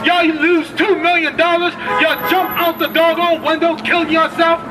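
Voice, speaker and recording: Confrontational male street preacher, very high-pitched, open-air corner with crowd and traffic noise. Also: little pitch movement, moderate pace, over music. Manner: loud, confrontational, addresses passersby directly. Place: outdoor city street corner.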